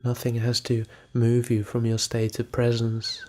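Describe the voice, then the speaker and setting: A man leading a guided meditation in a calm, measured manner, leaving pauses between phrases. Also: mid-range voice.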